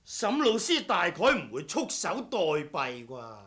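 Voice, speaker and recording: Sardonic vengeful swordsman, slow and theatrical. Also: sits high, wildly swooping pitch, a bit bright, exaggerated voice. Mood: cold, menacing. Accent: Cantonese. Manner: mock-courteous, taunting, theatrical. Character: vengeful, sardonic swordsman come to settle a blood debt. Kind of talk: Cantonese martial-arts radio drama acting.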